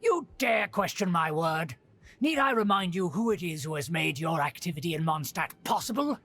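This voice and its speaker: A man's voice: fairly high pitch, animated, normal speed, creaky, fairly bright tone, slight background noise. An actor playing a haughty middle-aged nobleman in a video game, a scheming uncle with a pompous, formal, theatrical manner. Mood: indignant. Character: haughty aristocratic uncle scheming to restore his clan's rule.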